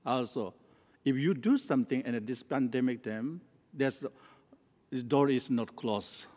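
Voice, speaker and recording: A man from Nepal, a teacher, giving a spoken teaching in slow, halting English full of restarts. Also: medium pitch, mellow. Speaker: Tibetan Buddhist teacher. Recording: long hall reverb.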